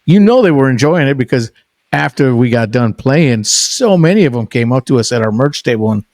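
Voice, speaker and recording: A man's voice: mid-range voice, expressive, brisk, a little mellow, no room sound, studio quality. A man in his sixties hosting a podcast, chatting with a guest in a chummy, eager way. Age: 60s. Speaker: podcast host. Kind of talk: casual interview chat.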